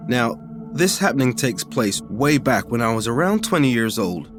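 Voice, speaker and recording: A man narrating a first-person ghost story in an informal, expressive, conversational way, as a podcast reader. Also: mid-range voice, moderate pace, over music.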